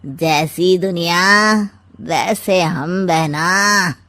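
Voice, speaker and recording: A woman's voice: on the low side, drawn-out words, sharp tone, voice pushed down, exaggerated voice. A woman voicing a sly, sarcastic middle-aged uncle in a cartoon story, with a drawling, insinuating manner. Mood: scheming, smug. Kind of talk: cartoon moral story voice acting.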